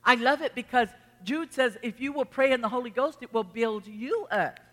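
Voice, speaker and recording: A woman's voice: on the high side, normal speed. A woman preacher with a Texan accent delivering a sermon to a congregation, emphatic, repeating phrases and posing rhetorical questions. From Texas. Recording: reverberant hall.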